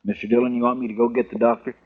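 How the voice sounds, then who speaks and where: A man's voice: mid-range voice, level tone, very fast, breathy edge, close to an everyday voice. A man playing a folksy, deferential deputy with a drawling rural American accent in a 1950s Western radio drama. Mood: dutiful. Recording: muffled, thin vintage radio recording.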